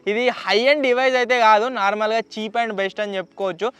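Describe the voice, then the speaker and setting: A man's voice: high voice, fairly even intonation, fast talker, fairly bright tone, clear, resonant tone, dry recording. A man with a Telugu accent hosting a casual unboxing vlog, chatty and explanatory, mixing in English words. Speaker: YouTube host.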